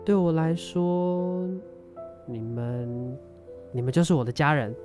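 A man's voice: a little high, slow pace, over music. A man acting in an audio drama as an earnest, sheepish young dorm mate, speaking Taiwanese Mandarin. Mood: earnest, wistful.

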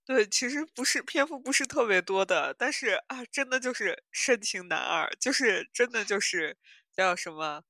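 A woman's voice: medium pitch, lively intonation, moderate pace, pushed and bright, dry recording, clean studio sound. A woman hosting a podcast in casual fan chat, swooning and emphatic as she talks. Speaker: podcast host. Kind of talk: casual fan chat about audio dramas.

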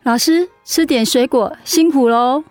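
An actress playing a frank, caring confidante in a radio drama, with a chiding, persuasive manner. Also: female voice, high-pitched, measured pace, darkish tone, no echo, faint music behind. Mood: friendly.

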